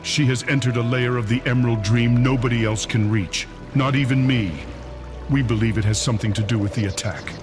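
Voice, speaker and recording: A man's voice: mid-range voice, steady tone, a little fast, lightly acted. A man voicing a solemn, troubled dragon consort in a fantasy game cutscene, grave and formal, with music behind. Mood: grave, urgent.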